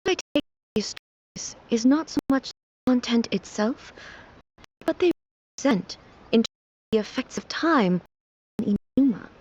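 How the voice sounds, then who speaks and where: An actress playing a solemn, sheltered immortal ruler in a video game, speaking formally and earnestly, naive about modern life. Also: female voice, fairly high pitch, animated, a little mellow, breathy edge. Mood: pensive, solemn. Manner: formal, measured, earnest, slightly stilted phrasing. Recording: slightly dull game capture with music. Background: game background music.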